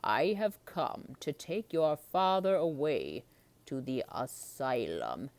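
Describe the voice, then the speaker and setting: Woman doing a slow, deep, sinister man. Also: big pitch swings, darkish tone, dry recording, full character voice. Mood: menacing. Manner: slow, ominous, drawn-out. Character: sinister strange man, grave asylum keeper.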